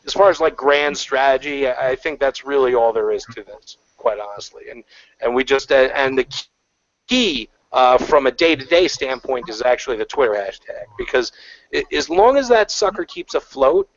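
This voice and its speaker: A man talks in a loose, rambling way, full of fillers and restarts. His voice sits in a mid pitch. The sound is muffled, lo-fi online call audio. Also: animated, normal speed. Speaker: panel guest. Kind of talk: casual online panel chat. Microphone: video call.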